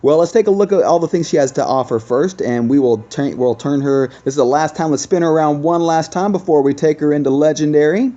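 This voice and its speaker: Man, casual gaming host, explanatory delivery, soft dark tone, dull recording with music. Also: on the high side, a little fast, hardly any pauses. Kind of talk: casual game commentary.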